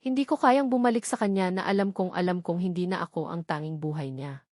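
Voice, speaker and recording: A woman's voice: on the low side, a little fast, darkish tone, no room sound, clean studio sound, natural voice. A woman voicing a betrayed, anxious but resolute pregnant wife in an emotional storybook drama. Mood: pained resolve. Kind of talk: dramatic audio storybook dialogue.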